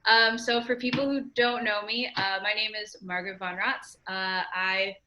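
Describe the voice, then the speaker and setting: A woman's voice: mid-range voice, little pitch movement, normal speed, background noise. A woman in her twenties from California, a podcast guest in a casual interview, chatty and upbeat, often saying "like". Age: 20s. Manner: chatty, frequent "like" fillers, upbeat. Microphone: remote call.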